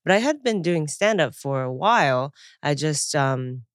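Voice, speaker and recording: A woman's voice: deep voice, lively intonation, a little slow, no echo. Laughing, chatty woman with a dry close sound. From Taiwan and Japan. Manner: chatty, laughs often, many fillers. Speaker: guest comedian. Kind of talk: casual interview chat.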